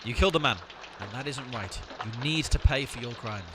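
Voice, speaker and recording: An actor playing a bossy, sarcastic amateur sleuth in a comic audio drama. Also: male voice, medium pitch, fairly quick, crowd noise, noisy background, close to an everyday voice. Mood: questioning, firm.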